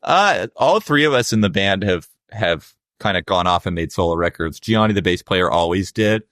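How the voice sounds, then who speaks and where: Young man, very low voice, reflective musician guest, loose chatty delivery, dry close sound. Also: expressive, normal speed, a bit bright, dry recording. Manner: reflective, loose, many fillers. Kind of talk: casual interview talk.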